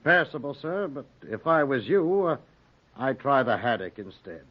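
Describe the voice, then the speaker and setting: An actor playing a polite, deferential neighborhood fishmonger in a 1940s radio drama, with a drawn-out delivery. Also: male voice, medium pitch, very animated. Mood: deferential, easygoing. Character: polite, unhurried neighborhood fish shop man. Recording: muffled 1940s radio recording, faint music.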